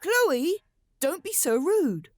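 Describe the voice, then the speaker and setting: A woman's voice: extremely high pitch, sing-song, slow pace, dry recording, studio quality, full character voice. A woman playing a strained, apologetic but firm stepmother in a horror radio drama. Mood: embarrassed.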